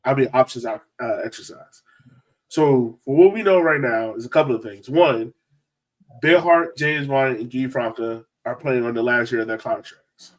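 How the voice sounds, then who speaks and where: A man's voice: medium pitch, moderate pace, rough recording. Man, podcast host, mid-range voice, casual sports chat.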